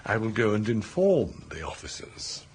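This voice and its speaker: A stately English butler in a radio comedy. He is pompous and deferential, with a husky voice that rises and falls expressively under stiff formality. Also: lowish voice, very animated, moderate pace. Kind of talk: radio comedy drama acting.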